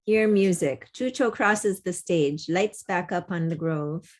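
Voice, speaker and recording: Unhurried woman reading stage directions. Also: fairly low pitch. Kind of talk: scripted narration of a play. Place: online video call.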